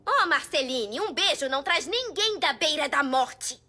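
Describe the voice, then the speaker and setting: A woman's voice: high voice, lively intonation, measured pace, bright, forceful tone, exaggerated voice. A Brazilian woman playing a prim, exasperated princess in a cartoon.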